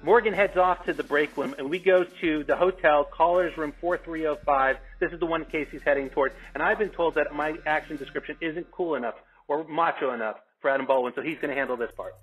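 A man's voice: on the high side, fairly quick, few pauses, fairly bright tone, husky. A man reading out stage directions as narrator at a remote script table read. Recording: dull call audio, music behind.

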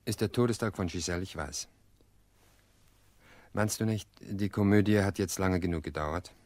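Radio play: male actor as earnest, weary widower, middle-aged. Deep, dry sound, measured delivery. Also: darkish tone, no room sound, lightly acted. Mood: bitter, reproachful. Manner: measured, reflective, hesitant pauses. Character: weary, earnest widower confessing to his sister-in-law. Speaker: actor in an audio drama.

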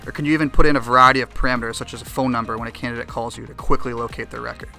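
A young man reads a software promo script. He speaks briskly with a bright, forceful tone. Soft music runs underneath. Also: medium pitch, fairly even intonation, creaky. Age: young adult. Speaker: software product presenter.

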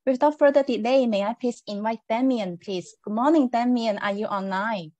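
A woman's voice: fairly high pitch, normal speed, dark tone, no room sound. A woman hosting an online business mixer over a video call, friendly and casual with many fillers. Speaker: event host.

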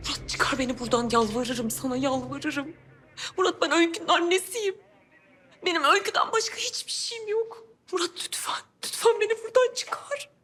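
A woman's voice: very high-pitched, brisk, fairly bright tone. An actress playing a distraught jailed mother in a TV drama, wailing and begging repetitively for her daughter. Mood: tearful begging. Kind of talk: TV drama acting.

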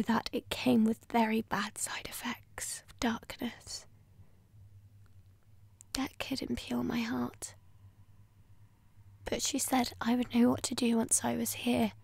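A woman playing a ghostly, obsessive dead fiancée in a breathy, whispered ASMR roleplay, intimate, possessive and menacing. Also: fairly high pitch, darkish tone, no echo, exaggerated voice. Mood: hushed, wistful.

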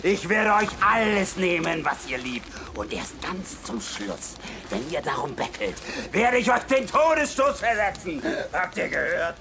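An actor playing a proud, vengeful and crooked army colonel in a theatrical radio drama. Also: male voice, high-pitched, big pitch swings, measured pace, few pauses, noisy background, heavily acted. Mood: enraged, vengeful. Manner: haughty, theatrical. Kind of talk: German radio drama acting.

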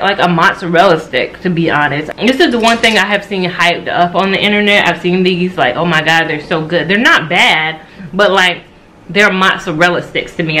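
A woman's voice: lowish voice, a bit bright, a little hiss or hum, poor audio. An American woman in her thirties chatting informally and slangily to camera as a food vlogger, recorded at home on a camera mic. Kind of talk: casual chat to camera, food review. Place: home dining area and kitchen, indoors.

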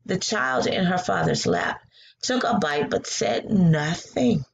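A woman's voice: medium pitch, takes it slowly, gravelly, dull audio, a little hiss or hum. A woman teaching a read-aloud lesson from her living room on a laptop webcam, encouraging and praising her students as she goes. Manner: animated and encouraging, praising answers. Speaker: teacher reading aloud to a class. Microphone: laptop webcam at close range.

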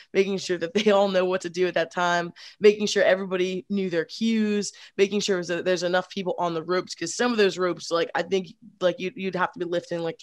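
A young woman chatting on a video call with a headset, telling her story in an energetic, rambling way, full of "like". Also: fairly low pitch, no room sound, clean studio sound. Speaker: supporters group organizer. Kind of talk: casual video call chat.

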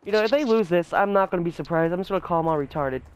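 A teammate reacting loudly to a shooting game during voice chat. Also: medium pitch, racing. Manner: excitable, yells at the game. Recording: noisy chat with gunfire behind.

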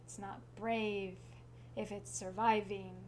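Slow, even-toned woman reciting slam poetry. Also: mid-range voice. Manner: deliberate, measured delivery with pauses between short phrases. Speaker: slam poet. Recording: clear background noise and hum.

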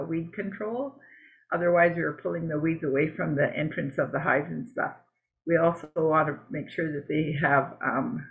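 A woman from Canada with a gravelly, creaky voice walks through her slides, stopping and restarting often between fillers. The sound is thin and tinny, like narrow video-call audio. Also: deep voice. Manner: halting and conversational, with many fillers and restarts. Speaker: beekeeping presenter.

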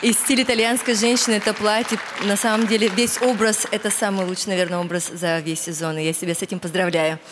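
A woman's voice: medium pitch, fairly even intonation, quick pace, hardly any pauses. Female judge, noisy studio crowd. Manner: enthusiastic verdict. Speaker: dance judge. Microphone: handheld.

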